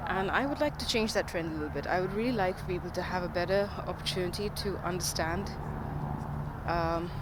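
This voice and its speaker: A researcher speaking informally but earnestly in a measured, formal way, explaining things in a monologue. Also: medium pitch. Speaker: diabetes researcher and podcaster. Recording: loud outdoor traffic or car noise.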